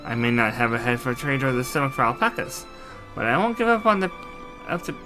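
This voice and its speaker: A man's voice: medium pitch, brisk, over music, lightly acted. A man voicing an earnest, impulsive young royal heir in a fantasy video game, speaking emphatically. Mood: determined. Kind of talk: amateur character voicing of game dialogue.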